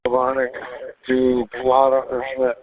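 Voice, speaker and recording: A man's voice: medium pitch, monotone, unhurried, dry recording. A man speaking as a police officer over a phone-like two-way radio.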